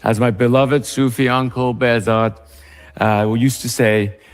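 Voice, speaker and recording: An Iranian-American man reading a scripted reflective essay in a measured way. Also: medium pitch, steady tone, clear, resonant tone, big-room sound.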